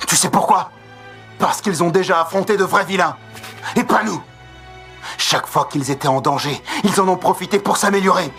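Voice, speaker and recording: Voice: medium pitch, normal speed, fairly bright tone, close to an everyday voice. Actor doing an angry brave anime teen guy, impassioned, spoiling for a fight, bit of music under. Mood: fiery resolve. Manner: defiant, impassioned. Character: hot-headed, combative teenage boy hero. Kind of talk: French-dubbed anime acting.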